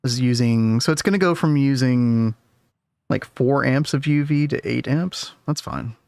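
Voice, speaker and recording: Guy streaming a game, kinda hoarse, chill rambly talk to chat, dry close sound. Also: medium pitch, moderate pace, a little mellow, no room sound. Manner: rambling, easygoing, talks to chat. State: losing his voice. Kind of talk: casual gameplay commentary.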